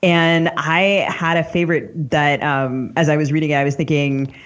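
A woman's voice: deep voice, fairly even intonation, moderate pace. A woman speaks as a podcast co-host in a conversational literary interview. Her recording is a little dull and lo-fi. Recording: slightly dull, lo-fi audio.

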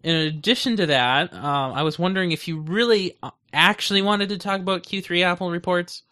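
Male co-host, slightly high bright voice, dry and matter-of-fact in casual podcast talk. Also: a little fast.

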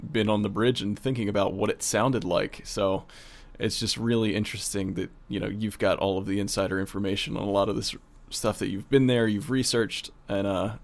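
A man talking casually as an audio producer in a relaxed-format, behind-the-scenes conversation. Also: on the low side, fairly quick, gravelly.

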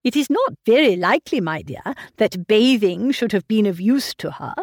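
A woman reading aloud voices a fussy, anxious elderly gentleman fretting over his grown daughter. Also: mid-range voice, sing-song, normal speed, no room sound, studio quality. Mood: fretful. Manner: fretful, coddling. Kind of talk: audiobook character reading.

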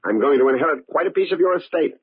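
A man's voice: fairly high pitch, animated, fast talker, breathy edge, phone-quality sound. A man playing a cold, scheming secretary turned murderer in a radio drama, gloating with cool menace. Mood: smug, menacing. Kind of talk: old-time radio acting. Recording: band-limited old radio recording.

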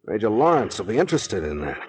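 An actor playing a brisk, level-headed captain in a 1940s radio drama, clipped and commanding in manner. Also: male voice, on the low side, big pitch swings. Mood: stern, matter-of-fact. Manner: clipped, commanding, matter-of-fact. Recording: noisy 1940s radio recording.

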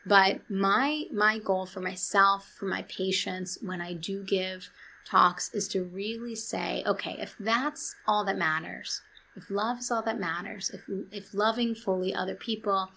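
A woman speaking as a guest therapist in an interview, calm-voiced and earnest, often restarting and quoting books. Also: medium pitch, measured pace, creaky, slight background noise, poor audio. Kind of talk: reflective interview talk.

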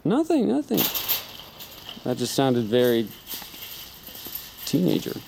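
An actor playing a middle-aged, protective, gently teasing father in a naturalistic audio drama. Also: mid-range voice, huge pitch swings, slow pace, gravelly, a little hiss or hum, exaggerated voice, poor audio. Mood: affectionate, placating.

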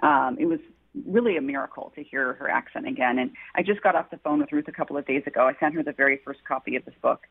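A woman's voice: very deep voice, quick pace, slightly rough. A woman author answering interview questions, telling her story in an earnest, heartfelt way. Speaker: author guest. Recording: tinny phone-line audio.

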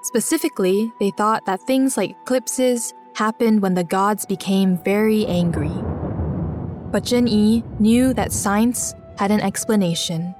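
A woman reading a scripted biographical story for children in a measured, clearly enunciated storytelling way. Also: medium pitch, music in the background. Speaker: narrator of a children's biography podcast.

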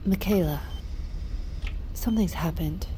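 Actress as caring female medic, soothing, mellow low voice, audio drama, faint hum behind. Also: measured pace, close to an everyday voice, poor audio. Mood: serious. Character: caring woman crew member tending a patient in med bay. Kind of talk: audio fiction drama acting.

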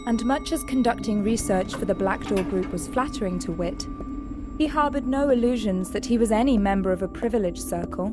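A woman's voice: mid-range voice, lively intonation, fairly quick. A woman reading scripted narration for an audio drama. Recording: music under the voice. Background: music.

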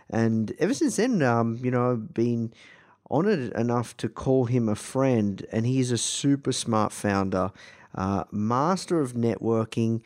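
Croaky Australian man hosting a podcast. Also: mid-range voice, a little mellow. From Melbourne, Australia. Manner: enthusiastic, informal. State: slightly croaky voice. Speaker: podcast host. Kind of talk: casual podcast intro monologue.

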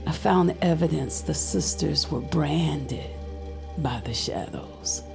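A woman playing a weary, secretive witch in an audio drama, sounding breathy and exhausted. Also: low-pitched, slow, heavily acted. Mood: grave, weary. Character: weary, secretive witch, the hero's loyal friend. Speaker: supporting actor. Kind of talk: audio fiction acting. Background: music.

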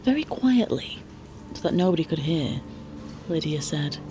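A woman narrating scripted audio fiction as a storyteller, measured and deadpan. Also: low voice, slight background noise.

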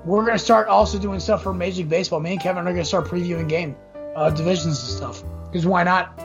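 A hoarse man in his twenties hosting a casual sports podcast, rambling through upbeat plugs and a pep talk. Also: high voice, steady tone, quick pace, over music. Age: 20s. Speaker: podcast host. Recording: dull lo-fi audio with jazz underneath.

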